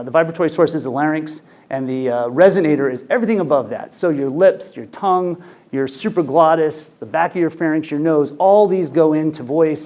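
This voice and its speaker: A man's voice: fairly high pitch, a little mellow. A man in his forties, an ENT physician giving a conversational lecture to clinicians, speaking into a handheld microphone in a conference room. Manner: conversational, anecdotal, frequent fillers.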